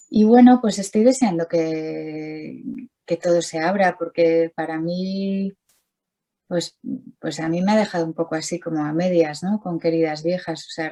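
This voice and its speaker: A woman's voice: sits low, warm tone. A middle-aged Spanish-speaking woman, an artist talking casually over a video call, rambling with many restarts and fillers. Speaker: artist, interview guest.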